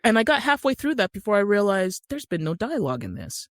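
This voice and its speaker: A woman's voice: mid-range voice, very animated, fast, no room sound. A middle-aged American woman telling stories conversationally and emphatically as an interview guest. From Utah, United States. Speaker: podcast guest, screenwriter and actor.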